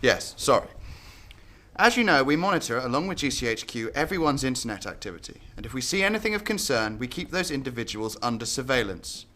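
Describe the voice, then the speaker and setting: A man's voice: medium pitch, very animated, brisk, bright, forceful tone. A man acting a blunt, scornful security service chief in a radio drama, sarcastic. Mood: businesslike.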